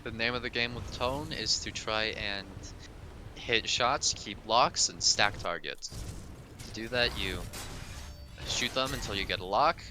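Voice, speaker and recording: A man co-commentating a live speedrun in a dryly joking way. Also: on the low side.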